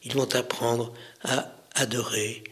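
Man telling a religious story deliberately. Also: mid-range voice, little pitch movement, a bit bright. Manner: deliberate, broken into short phrases with pauses.

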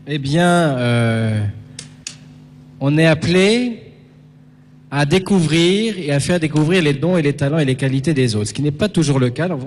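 A man's voice: fairly high pitch, a little mellow. Man preaching in a reverberant hall. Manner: didactic, punctuates points with checking questions. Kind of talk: sermon to a young audience, conversational.

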